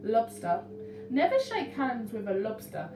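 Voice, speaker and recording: A woman with a high, very animated voice reads a picture book for young children. She is expressive and addresses her listeners directly. She sounds a little distant in a roomy space. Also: a little slow. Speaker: teacher reading to young children. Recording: roomy, distant mic, faint noise.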